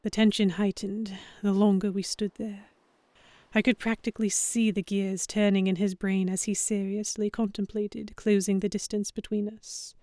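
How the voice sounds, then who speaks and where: Woman as expressive young heroine, close dry sound. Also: mid-range voice, moderate pace, no room sound, natural voice. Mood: breathless longing. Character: young heroine narrating a pirate romance, flustered. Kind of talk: first-person dramatic audiobook narration.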